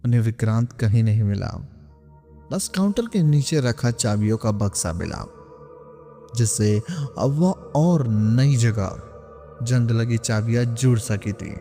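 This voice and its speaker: A man narrating a scripted horror story in a suspenseful, dramatic way with deliberate pauses. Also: medium pitch, expressive, dark tone. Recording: long cavernous reverb, music underneath. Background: background music.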